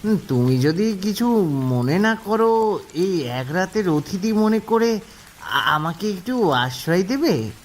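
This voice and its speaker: A woman plays a thin, worried old man from a horror cartoon. The voice is humble and pleading, very slow but swinging in pitch, with faint rain behind it. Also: very animated, a little hiss or hum.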